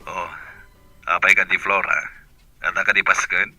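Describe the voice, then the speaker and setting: An actor playing a steady, helpful male friend on a phone call in an Ilocano radio drama. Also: low voice, moderate pace. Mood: attentive. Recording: music under the voice.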